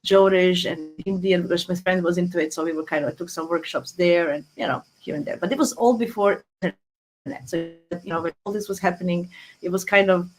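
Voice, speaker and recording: A woman's voice: on the low side, a little fast, soft background music, poor audio. A woman in her forties with a Croatian accent, talking casually as an interview guest, often repeating words and restarting, over a phone on a video call. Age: 40s. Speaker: guest astrologer. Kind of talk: casual interview talk, telling personal story. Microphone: phone app on a video call.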